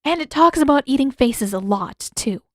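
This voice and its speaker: A teenage girl of the storybook heroine kind, played by a woman reader, pitched high and close in a dry recording. She is earnest and easily flustered, pushing questions out with impatient urgency. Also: lively intonation, moderate pace, no room sound. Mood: relieved, unsure.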